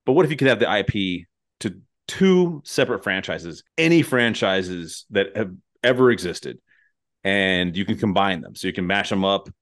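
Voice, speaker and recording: A man's voice: deep voice, animated, moderate pace, fairly bright tone. A man hosting a casual comedic chat, rambling and jokey, swearing freely as he goes. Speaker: podcast host.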